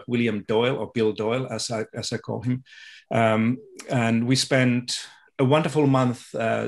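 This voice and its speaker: A middle-aged man from Finland with a Finnish accent, speaking as a guest on a video call, thoughtful and halting with frequent restarts. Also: medium pitch. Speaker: guest education researcher. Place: home room with sofa and guitar. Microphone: video call webcam.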